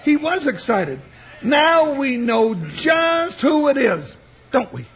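An actor playing a blustery, comical cowboy sidekick in an old radio western, with a squawky folksy Western American drawl. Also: male voice, extremely high pitch, sing-song, takes it slowly, a bit bright, full character voice. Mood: excitable, puzzled. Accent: American West. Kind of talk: 1950s radio western acting. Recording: muffled old radio recording, faint noise.

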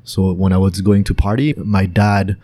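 A man's voice: deep voice, level tone, moderate pace, warm tone. A young man, hosting a casual interview, talking in a chatty way with hesitant restarts. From France. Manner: chatty, hesitant restarts, laughs while telling stories. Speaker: podcast host.

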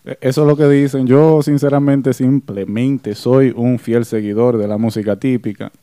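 A man's voice: mid-range voice, steady tone, normal speed, warm tone, no room sound, studio quality. A man hosting an interview, friendly and earnest in manner. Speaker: host.

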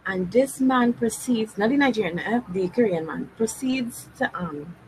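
A woman telling anecdotes in a relaxed way during casual podcast chat. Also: a little high, moderate pace, slight background noise, low-quality recording. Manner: conversational, anecdotal.

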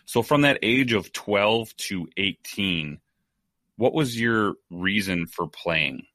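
A man hosting a podcast, reading a scripted intro and then asking long, measured interview questions. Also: fairly low pitch, expressive, sharp tone, dry recording. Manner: measured, asks long multi-part questions. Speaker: podcast host.

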